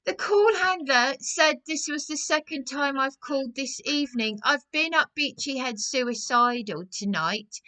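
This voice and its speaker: Woman, high bright voice, distressed, agitated, insistent, repetitive, phone complaint. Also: poor audio. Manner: agitated, insistent, repeating phrases. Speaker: caller.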